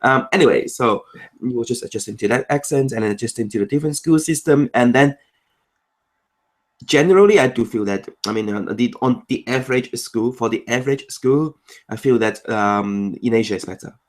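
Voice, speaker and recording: A man from Macau with Cantonese as his first language, speaking English as an interview guest. His voice sits at an ordinary male pitch, and he explains things in quick bursts, rattling off lists as he goes. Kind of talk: casual conversational interview.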